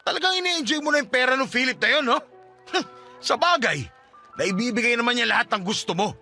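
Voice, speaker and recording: A wounded, jealous husband and father in a radio melodrama. He talks fast in a high, forceful voice. His manner is earnest, insistent and accusing. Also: faint music behind, exaggerated voice. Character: hurt, pleading, jealous estranged husband and father. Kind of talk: Tagalog radio drama acting.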